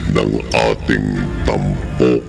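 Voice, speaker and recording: An ominous horror radio storyteller with a rumbling, heavily creaking bass. Every phrase is stretched out very slowly and with menace, theatrically, over clear background music. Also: male voice, flat delivery, heavily acted. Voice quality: very deep, gravelly. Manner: slow, drawn-out, menacing delivery. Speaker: narrator and host of horror radio drama.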